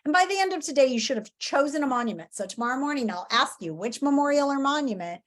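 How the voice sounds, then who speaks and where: A woman's voice: high voice, lively intonation, quick pace. A woman teacher reading a story aloud and giving lesson instructions to pupils, expressively and directly.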